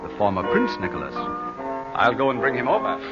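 An actor playing a genial, courteous gentleman host, chatty and slightly hesitant, in an old radio drama. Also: mid-range voice, wildly swooping pitch, brisk. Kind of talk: 1940s radio acting. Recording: dull old radio recording with music.

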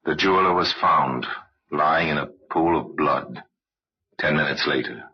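A man giving measured, wry scripted narration for an old-time radio crime drama. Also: extremely low pitch, husky. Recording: dull, lo-fi vintage radio recording.

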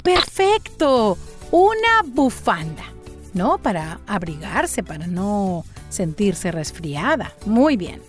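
A woman's voice: mid-range voice, sing-song, slow, music in the background. A woman hosting a children's story channel, running a playful quiz and praising each answer in a coaxing way.

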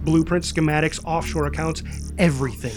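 A man narrates an action script in a dramatic style, reading out the stage directions. His voice sits high, and music plays clearly behind it. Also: steady tone, fairly quick, a little mellow.

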